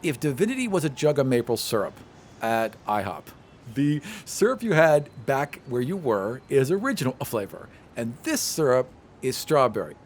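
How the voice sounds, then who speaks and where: Animated man narrating a tabletop game. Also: mid-range voice, moderate pace, slight background noise. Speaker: game master.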